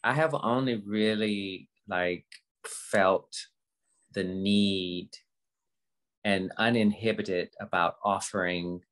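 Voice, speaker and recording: A woman in her fifties speaks candidly in a conversational interview. Her delivery is earnest and halting, and she circles back to repeat words for emphasis, in the manner of an informal motivational talk. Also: a bass voice. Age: 50s. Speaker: guest.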